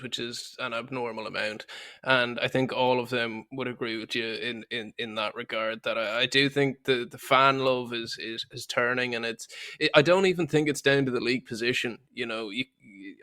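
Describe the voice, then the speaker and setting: A man co-hosts a casual football podcast at an ordinary middle pitch. He feels his way into each point, doubling back and repeating words as he goes. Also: sharp tone.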